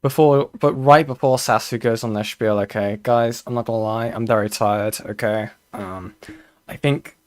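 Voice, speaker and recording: A hoarse male streamer who is losing his voice, wrapping up his stream in a chatty way and tacking "okay?" onto his sentences. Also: on the low side, steady tone, moderate pace, a little mellow, no room sound. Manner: chatty, keeps adding "okay?". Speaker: streamer host. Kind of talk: casual stream sign-off.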